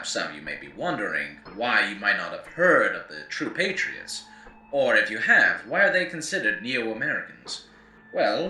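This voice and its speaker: A man's voice: lowish voice, bright, forceful tone, heavily acted. A man playing a wry, opinionated post-apocalyptic radio host, narrating scripted lore in a theatrical, emphatic lecturing way. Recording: roomy, distant mic, faint background noise.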